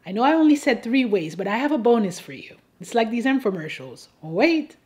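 A woman from Haiti delivering scripted persuasive commentary to an audience, in a pointed, wry and deliberate rhetorical way. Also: on the high side, big pitch swings, a little mellow. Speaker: host of an advocacy video.

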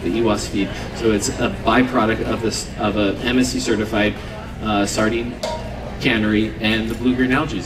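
Guy in his 30s talking earnestly to a crowd outside, lots of ums and restarts, sounds far off and roomy. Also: mid-range voice. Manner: earnest, unscripted, with frequent fillers and restarts. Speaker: seafood company representative. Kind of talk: live demo talk to an audience. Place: outdoor event stage under trees.

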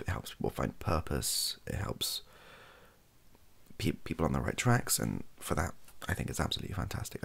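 A young British man chatting softly and casually in an ASMR style, rambling and hesitant, with a gravelly, husky voice. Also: a bass voice. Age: young adult. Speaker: content creator. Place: small cluttered bedroom, indoors.

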